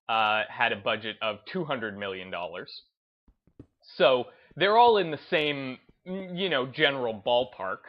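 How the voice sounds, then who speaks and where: A man's voice: medium pitch, muffled recording. A man giving unscripted opinion commentary in a hesitant, rambling way, often restarting his phrases. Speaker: host of a gaming video channel.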